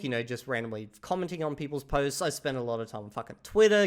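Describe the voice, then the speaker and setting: A man, talking casually to camera about his routine, swearing freely and saying "bro" and "okay?" often. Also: a little high. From Australia. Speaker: language-learning YouTuber.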